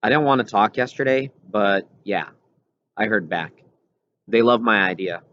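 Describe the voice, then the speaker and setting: A man's voice: fairly low pitch, normal speed, fairly bright tone, close to an everyday voice. A man reading as a disappointed, sulky young girl with a plaintive manner in a storybook. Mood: disappointed, sarcastic. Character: disappointed, sulky young girl narrator who loves whales. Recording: dull, lo-fi recording.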